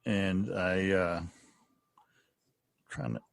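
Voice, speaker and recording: A man co-hosting a podcast interview in a casual, halting, chatty way, often chuckling. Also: low voice, fairly even intonation, creaky, no echo. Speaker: podcast co-host.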